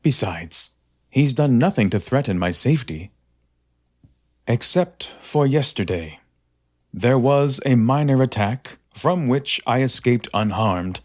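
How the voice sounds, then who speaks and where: A man's voice: medium pitch, animated, takes it slowly, dry recording, studio quality. Man voicing a smug sneaky little creature. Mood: scornful. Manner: smug, disdainful first-person narration. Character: small sneaky creature, proud, wary, scornful. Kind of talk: audio drama monologue. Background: loud music.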